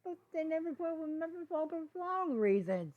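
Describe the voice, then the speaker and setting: A woman voicing an apologetic, anxious young female unicorn in a storybook reading, with halting, pleading delivery. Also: very high voice, big pitch swings, voice pushed up. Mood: worried. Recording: dull, lo-fi sound.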